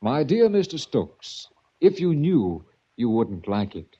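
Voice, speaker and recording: An actor playing a smug, menacing villain with mocking courtesy in a 1940s radio mystery. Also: male voice, mid-range voice, big pitch swings, takes it slowly, mellow, slightly rough, full character voice. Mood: smugly menacing. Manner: mockingly courteous, taunting, articulate. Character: smooth, smug, menacing villain framing his captive. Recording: dull vintage 1940s radio recording.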